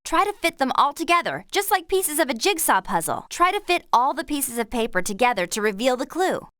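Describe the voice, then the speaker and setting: A woman's voice: high voice, big pitch swings, fairly quick, bright, forceful tone, no room sound, clean studio sound, heavily acted. An actress playing a friendly young woman who gives supportive instructions in a horse-riding video game. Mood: helpful, instructive. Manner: clear instructional delivery, supportive.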